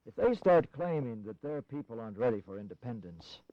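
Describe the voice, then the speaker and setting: A man's voice: mid-range voice, very animated, brisk, warm tone, dry recording, natural voice. A man plays an older, shrewd revolutionary politician in a historical audio drama. He persuades with wry, teasing relish. The sound is very dry and a little muffled, like an older studio recording. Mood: scheming, amused.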